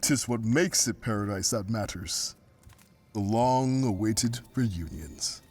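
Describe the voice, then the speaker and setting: A man's voice: fairly low pitch, expressive, slow, a little mellow, breathy edge, faint music behind, heavily acted. A man playing a noble, courteous ancient ghost guide in an audio drama, speaking in grave, formal, archaic phrases. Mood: serene, reverent. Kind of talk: audio drama character acting.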